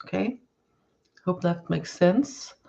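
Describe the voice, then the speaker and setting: A woman's voice: on the low side, takes it slowly, vocal fry, mellow, lo-fi sound. Woman reading tarot cards. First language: Danish. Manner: searching for words, many restarts and fillers. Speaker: tarot card reader. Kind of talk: live-stream tarot reading.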